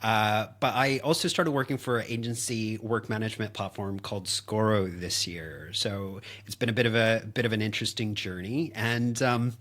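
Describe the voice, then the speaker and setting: A man's voice: fairly low pitch, steady tone, normal speed, hardly any pauses, fairly bright tone. A middle-aged man from Canada with a Canadian accent, talking casually as a podcast guest, thinking aloud with ums and restarts. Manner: thoughtful, hesitant starts with ums and repeats. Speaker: podcast guest, operations consultant. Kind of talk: casual video-call chat.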